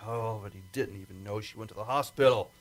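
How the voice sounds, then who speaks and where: A man's voice: fairly low pitch, fast, a little hiss or hum, close to an everyday voice. An actor playing a worried, weary male flight control chief in an old-time radio drama, urgent and stammering. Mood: weary, grieving.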